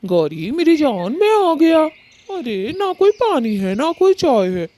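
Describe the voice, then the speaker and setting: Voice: high voice, big pitch swings, slow, mellow, exaggerated voice. A performer voicing a male cartoon bird with a theatrical, complaining and pleading way of talking. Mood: wounded, pleading. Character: dramatic male cartoon bird, husband or neighbour, plaintive. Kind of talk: Hindi children's cartoon story dialogue.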